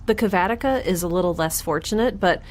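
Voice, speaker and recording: A woman's voice: lowish voice, fast talker, a little hiss or hum, close to an everyday voice. A woman playing a blunt, curious young woman in space, with a wry, rambling, analytical way of talking, in a sci-fi audio drama. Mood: wry optimism.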